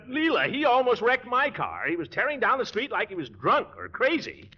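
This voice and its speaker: Pompous blustering uncle with swooping voice. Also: male voice, sits high, huge pitch swings, normal speed, husky, muffled recording, exaggerated voice. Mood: indignant, blustering. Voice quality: chuckling. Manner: blustering, flustered, grandiose. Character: pompous, blustering middle-aged uncle, easily flustered, sweet on his fiancée. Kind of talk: 1940s radio comedy acting. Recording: noisy band-limited 1940s radio recording.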